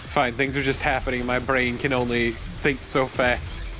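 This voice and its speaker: A man's voice: mid-range voice, flat delivery, normal speed. Man casually joking over game music. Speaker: let's play co-commentator.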